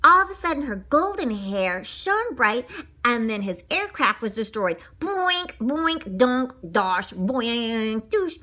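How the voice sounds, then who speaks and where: A woman with a high, bright voice reads a children's story aloud. Her delivery is very lively and dramatic, dotted with spoken sound effects. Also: slow pace. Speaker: storybook reader.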